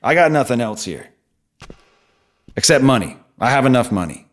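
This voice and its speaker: A man's voice: medium pitch, very animated, natural voice. An actor playing a mysterious male stranger in an audio drama, a sly tempter who teases and coaxes without hurry. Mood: sly, taunting. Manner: teasing, coaxing, unhurried.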